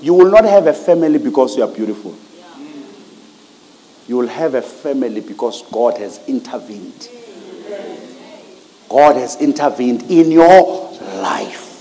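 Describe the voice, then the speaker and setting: A middle-aged South African man preaching a sermon in an emphatic, repetitive, declamatory manner in a church hall. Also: on the high side.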